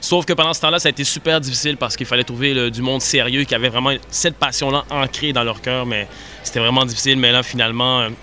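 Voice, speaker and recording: A man's voice: medium pitch, steady tone, fast, sharp tone, slight background noise. A young man, a singer in a vocal group, talking eagerly and emphatically. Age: 20s. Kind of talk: casual interview.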